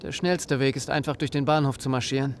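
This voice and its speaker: A man's voice: mid-range voice, steady tone, fairly quick, lightly acted. An actor playing a wary, impatient male survivor in an audio drama. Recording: reverberant hall, faint noise.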